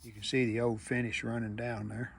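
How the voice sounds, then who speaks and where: A man's voice: mid-range voice, flat delivery, brisk, vocal fry, a little hiss or hum. A man narrating a hands-on restoration casually, thinking aloud in short, plainspoken phrases. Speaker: restoration video host.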